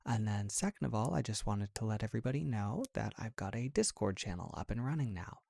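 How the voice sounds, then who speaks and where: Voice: mid-range voice, expressive, brisk, creaky, dark tone, no echo, studio quality. An ASMR audio creator giving a casual, friendly announcement straight to listeners.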